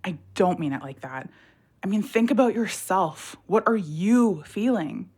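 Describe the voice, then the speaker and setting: A woman's voice: mid-range voice, lively intonation, measured pace, darkish tone, husky. A woman playing a blunt, supportive friend and working single mother in an audio drama, frank and colloquial. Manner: frank, colloquial, exasperated but encouraging.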